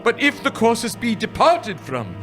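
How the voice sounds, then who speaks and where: An actor playing an old miser, pleading desperately and slowly with a ghost in a live radio play. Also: male voice, very high-pitched, wildly swooping pitch, music in the background, heavily acted. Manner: desperate, pleading, slow and grave.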